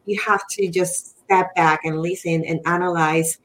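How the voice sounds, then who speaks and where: A woman's voice: fairly low pitch, fairly even intonation, unhurried, slight background noise, poor audio. Reflective woman with a Spanish accent. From Puerto Rico. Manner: earnest and reflective, searching for words. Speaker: podcast guest, real estate professional. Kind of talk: casual interview conversation.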